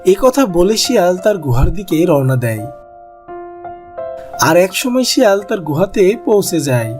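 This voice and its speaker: A man's voice: sits high, sing-song, unhurried, darkish tone, faint music behind. A man narrating a scripted animal cartoon story as the storyteller.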